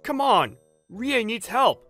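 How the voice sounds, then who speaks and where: A man plays an apologetic young husband in a manga dub. The voice is bright and animated, pleading like a pushover, with music behind it. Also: very high-pitched, slow, exaggerated voice.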